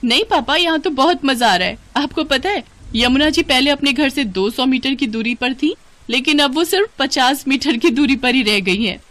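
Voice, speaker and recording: A woman's voice: high-pitched, animated, normal speed, a bit bright, voice pushed up. A woman voicing a naive, dreamy and dramatic young daughter-in-law in a cartoon. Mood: delighted, carefree.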